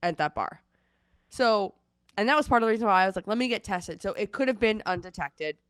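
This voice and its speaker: A woman's voice: mid-range voice, fairly quick, no room sound. Woman, thirties, congested, chatty storyteller, dry close sound. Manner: chatty storytelling, animated retelling. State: congested, losing her voice. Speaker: co-host. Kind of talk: casual chat. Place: at home in Seattle.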